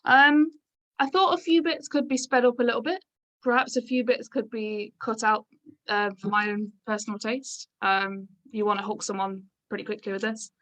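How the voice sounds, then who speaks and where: A woman's voice: fairly high pitch, fairly even intonation, normal speed, a bit bright, rough recording. A woman talking casually as she gives her feedback on a script as one of its readers.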